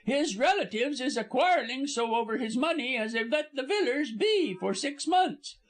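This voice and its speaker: A man's voice: very high-pitched, expressive, moderate pace, voice pushed up. A man voicing an indignant, gossipy older Cockney servant woman, wordy and emphatic, dropping aitches, in an audiobook story.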